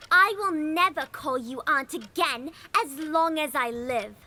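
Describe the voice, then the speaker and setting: A woman's voice: sits high, pushed and bright. A woman playing a passionate, outspoken young orphan girl in an audio drama. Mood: angry, defiant. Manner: vehement, outspoken.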